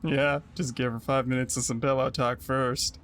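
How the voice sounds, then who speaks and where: A man's voice: medium pitch, flat delivery, moderate pace, a bit bright, a little hiss or hum, lightly acted. A man playing a breezy, casual sailor in an amateur audio drama. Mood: teasing. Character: breezy, teasing male sailor.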